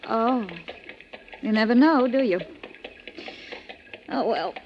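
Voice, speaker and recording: A woman's voice: a little high, slow. A woman playing a dreamy, playfully curious sweetheart in a 1940s radio crime drama, coy in manner. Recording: muffled vintage 1940s radio recording.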